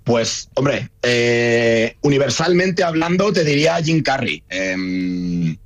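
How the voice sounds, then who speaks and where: A man's voice: medium pitch, lively intonation, takes it slowly. A man with a La Mancha Spanish accent, a comedian chatting as a guest in a radio interview, rambling and laughing easily. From Spain. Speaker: guest, comedian and video creator. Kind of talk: casual radio interview chat.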